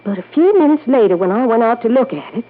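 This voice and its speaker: A woman's voice: a little high, expressive, fast talker, close to an everyday voice. An actress playing a dignified, wealthy middle-aged lady in an old-time radio drama, genteel, composed and confiding. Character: dignified, wealthy Southern lady in her late forties. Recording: tinny, band-limited vintage radio recording.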